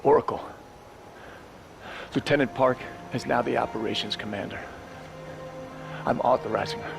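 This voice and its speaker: A man acting as a gravely wounded, resolute special forces commander in a video game cutscene, weary and solemn as he gives halting orders. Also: medium pitch. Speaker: military commander. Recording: loud music behind the voice.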